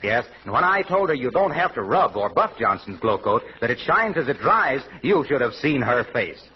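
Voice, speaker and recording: A man's voice: mid-range voice, big pitch swings, moderate pace, lightly acted. An American actor playing a chummy, sugary-talking salesman pal in polished 1940s radio comedy style. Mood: sugary, gushing. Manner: polished, ingratiating. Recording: muffled, hissy vintage radio recording.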